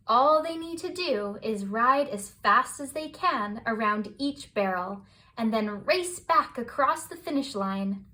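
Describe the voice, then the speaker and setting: A woman reading a children's picture book aloud as scripted bedtime narration, with expressive, deliberate phrasing. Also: fairly high pitch. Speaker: children's storybook reader.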